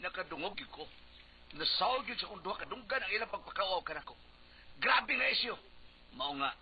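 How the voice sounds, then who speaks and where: High-pitched, touchy Hugo. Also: male voice, expressive, a little fast. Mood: wounded, resigned. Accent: Cebuano. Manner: melodramatic, whining, repeating himself. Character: Hugo, touchy, wounded, hot-tempered husband and election candidate. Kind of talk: comic Bisaya radio drama acting. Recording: noisy, dull lo-fi radio recording.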